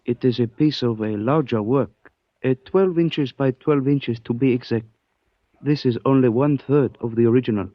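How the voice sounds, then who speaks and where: An actor playing a calm, courteous Chinese antique shopkeeper who speaks formally and philosophically in a 1940s radio drama. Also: male voice, mid-range voice, normal speed, dry recording, close to an everyday voice. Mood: serene, cryptic. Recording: dull old 1940s radio recording.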